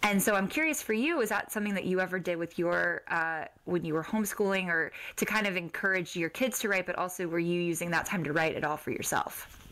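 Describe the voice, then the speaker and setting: A woman's voice: lowish voice, fairly quick, fairly bright tone. Woman podcast host, noisy recording. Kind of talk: podcast intro and casual interview chat.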